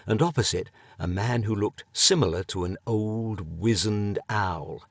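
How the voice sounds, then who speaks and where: A man reading an audiobook in a measured way with dry humour, close on the mic. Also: on the low side, creaky, no room sound. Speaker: audiobook narrator. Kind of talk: scripted narration.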